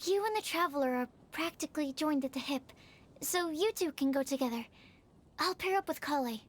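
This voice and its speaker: A woman playing an earnest, shy young female alchemist in a video game, polite and bookish with a soft-spoken, hesitant manner. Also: high-pitched, lively intonation, brisk, slight background noise. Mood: businesslike. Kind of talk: video game cutscene dialogue. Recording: faint music behind the voice.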